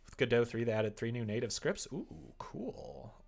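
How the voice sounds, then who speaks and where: Creaky-voiced livestreamer, casually thinking aloud. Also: mid-range voice, big pitch swings, normal speed, darkish tone. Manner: rambling, muttering to self, self-deprecating. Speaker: livestreamer making a game. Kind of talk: casual livestream chat, thinking aloud while coding and replying to viewers.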